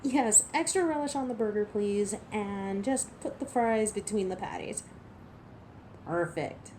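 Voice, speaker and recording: Girl voicing a teasing wolf woman in an audio drama, slow and sardonic, kinda menacing but protective, bit of noise behind her. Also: medium pitch, warm tone, close to an everyday voice. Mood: casual, polite. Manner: sardonic, taunting, playful. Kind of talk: scripted audio roleplay acting, addressing the listener.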